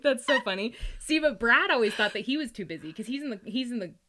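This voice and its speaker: A woman in a casual podcast chat, talking in an animated, informal way and often acting out little quotes. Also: on the high side, fast talker, a little hiss or hum. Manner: animated, informal, frequent laughing asides and mimicked quotes. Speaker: podcast speaker.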